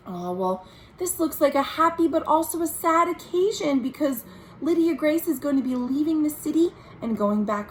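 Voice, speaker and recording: American woman, high-voiced, animated children's storytime reader. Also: a little hiss or hum, low-quality recording. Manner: expressive, simple explanations addressed to young children. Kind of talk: children's picture-book read-aloud with commentary. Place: recorded at home.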